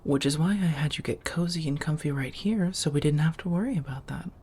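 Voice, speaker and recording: A woman playing an affectionate, teasing vampire roommate in a soft-spoken ASMR roleplay, breathy and intimate, often half-whispering. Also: low voice, brisk. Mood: tender, satisfied. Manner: intimate, teasing, coaxing with pet names.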